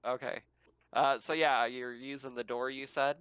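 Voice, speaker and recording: A man's voice: mid-range voice, flat delivery, normal speed, a bit bright, clear, resonant tone, no room sound, lo-fi sound. A man acting as game master, casually talking players through a tabletop roleplaying game.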